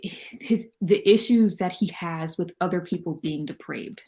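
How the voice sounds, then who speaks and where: A woman's voice: on the low side, unhurried, a little mellow. A woman guest talking about her reading in a conversational, informal way on a livestream. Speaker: livestream guest and book reviewer. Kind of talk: casual chat.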